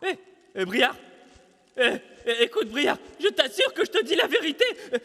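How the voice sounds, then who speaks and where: A man voicing an excitable, dreamy cartoon animal in a family film dub, stammering and pleading as he gushes. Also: very high voice, moderate pace, long reverb tail, exaggerated voice.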